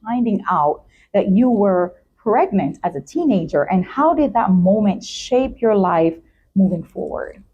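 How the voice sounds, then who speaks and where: A woman's voice: mid-range voice, expressive, measured pace, dull audio, slight background noise. A woman talk show host with an effusive, polite presenting manner, speaking in announcements and interview chat.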